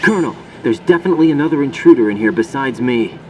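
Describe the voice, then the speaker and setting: A man's voice: mid-range voice, lively intonation, fast, dark tone, far from the mic, slight background noise, lightly acted, rough recording. A man playing an earnest young special-forces agent in a video game, reporting tersely and asking questions of his commander. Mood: intrigued. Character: young male special-forces operative, earnest rookie infiltrator.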